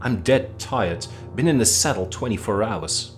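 A man's voice: lowish voice, animated, a little slow, soft background music, voice pushed up. A man reading a story aloud, voicing a brash, hearty young male army officer who teases his cousin. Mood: breezy, tired. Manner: hearty, teasing, breezy.

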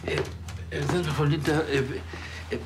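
An actor playing a self-important police chief in a TV comedy drama, speaking in a halting, pompous way. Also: male voice, on the high side, fairly even intonation, background noise.

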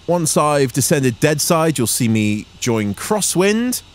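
A man in his thirties giving measured, instructional vlog narration as a student pilot. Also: a little high. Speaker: student microlight pilot, vlogger. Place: small microlight cockpit in flight. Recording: steady engine and cockpit noise.

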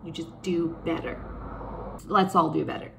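A woman's voice: low-pitched, steady tone, moderate pace, vocal fry, very noisy. A young woman from Canada talking to her webcam in a bedroom, earnest and upbeat, gesturing as she reflects on her plans. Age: young adult. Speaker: vlogger. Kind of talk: casual talk to camera. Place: bedroom indoors.

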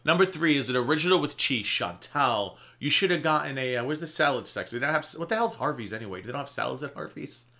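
A man in his thirties riffs through a reaction video with a chatty, sarcastic edge and plenty of swearing. His voice is bright and forward, sitting at a middle pitch, and he is recorded close to the mic indoors. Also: lively intonation, fast talker, few pauses. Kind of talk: casual reaction commentary. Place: indoor room before a green screen.

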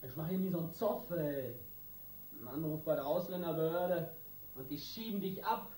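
An actor playing a hot-tempered small-time gang boss in a radio crime drama, coarse and threatening in street slang. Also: male voice, a little high, normal speed, mellow, close to an everyday voice. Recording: roomy, distant, noisy radio drama.

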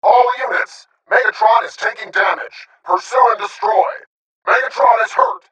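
High, urgent robot sniper soldier. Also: male voice, normal speed, pushed and bright, echoey room, far from the mic, rough recording. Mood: aggressive. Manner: clipped, urgent, commanding. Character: male robot sniper soldier, disciplined, confident, combative. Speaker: video game character. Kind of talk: military radio-style combat callouts.

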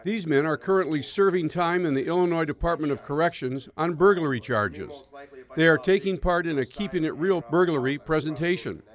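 A man narrating a local news segment in an even, measured reporting style. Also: fairly high pitch.

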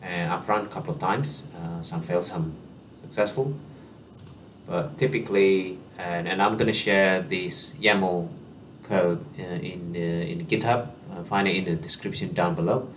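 A man in his thirties giving a screen-share software walkthrough as a tutorial presenter, explaining casually with frequent fillers and restarts from a small room at his desk. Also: low voice, measured pace, distant mic, slight background noise.

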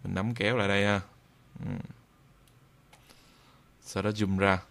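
A man narrating an informal software tutorial in a halting way, with frequent drawn-out hesitations. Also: lowish voice, little pitch movement, gravelly, clean tone. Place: indoors at a computer, screen recording voice-over.

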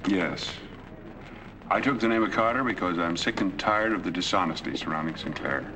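An actor playing a middle-aged wealthy dying man in a 1940s film, calm and persuasive. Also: deep voice, moderate pace. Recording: old film soundtrack, distant and noisy.

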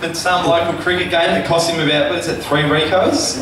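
A man's voice: on the high side, level tone, brisk. Joking best man in echoey hall. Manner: joking, laughing, offhand. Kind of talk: wedding speech read from notes. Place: large hall at a wedding reception. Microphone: handheld mic through speakers. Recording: echoey hall with crowd noise, from far off. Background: laughing guests.

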